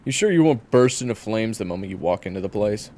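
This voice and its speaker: A man playing a gruff, sarcastic and fastidious monster hunter in an audio roleplay, dry, scolding and blunt. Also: on the low side, animated, noisy background, lightly acted. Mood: dry, bossy.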